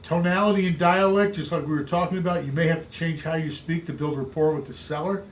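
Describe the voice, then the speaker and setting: A man with a big booming voice coaching real estate agents, speaking emphatically and repeating his key points. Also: fairly even intonation, fast talker, slight background noise. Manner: emphatic, repetitive coaching delivery. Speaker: real estate sales trainer. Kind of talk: instructional podcast talk.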